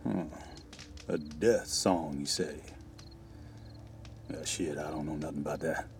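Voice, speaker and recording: An actor playing a weary, devout older cavalry sergeant in a western audio drama, measured and folksy. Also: male voice, rumbling low, a little hiss or hum. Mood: wry, subdued. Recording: music behind the voice.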